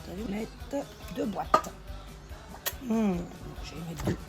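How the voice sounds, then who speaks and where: A hoarse woman chatting familiarly as she cooks, calling the viewer pet names. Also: mid-range voice, sing-song, slow. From northern France. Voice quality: gravelly. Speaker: home cook. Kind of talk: casual cooking vlog chat. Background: background music.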